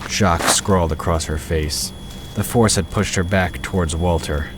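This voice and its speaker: A man's voice: low voice, steady tone, a little slow, background noise. A man narrating a scripted fantasy story as the narrator of an audio drama.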